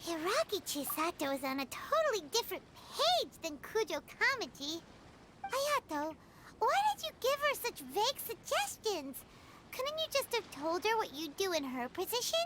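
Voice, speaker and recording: A woman voicing a tiny, childlike fairy companion in a video game, squeaky, blunt and nosy. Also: big pitch swings, normal speed, noisy background. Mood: questioning, pushy. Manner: blunt, speaks of herself in third person. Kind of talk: scripted video game voice acting.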